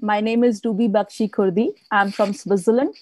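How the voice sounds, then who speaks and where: Mellow-voiced woman from India. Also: medium pitch, steady tone, normal speed. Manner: warm, storytelling, laughs often. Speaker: guest speaker. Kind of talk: live interview.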